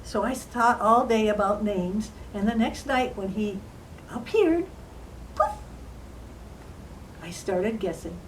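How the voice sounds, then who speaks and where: A woman playing a young fairy-tale queen and mother, telling her own story in an earnest, dramatic, emotional way with drawn-out emphasis. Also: medium pitch, expressive, low-quality recording. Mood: earnest, uneasy. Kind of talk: dramatized first-person storytelling in character. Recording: faint background noise.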